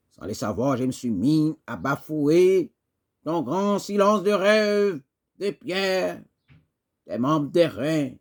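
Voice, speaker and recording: A man reciting poetry dramatically, in an impassioned, declamatory way with emphatic pauses. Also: medium pitch, darkish tone. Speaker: poet reciting.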